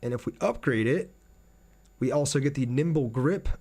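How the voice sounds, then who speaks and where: A man's voice: medium pitch, expressive, moderate pace, darkish tone, husky. A man giving casual gameplay commentary, thinking aloud in an informal way as he plays. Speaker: gaming video host. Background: game music and sounds.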